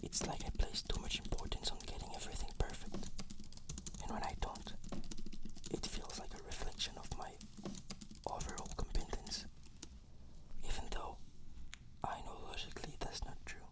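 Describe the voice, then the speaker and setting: A woman's voice: normal speed, long pauses, fairly bright tone, no room sound. Whispering woman, scripted ASMR patient. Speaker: patient in a therapy roleplay.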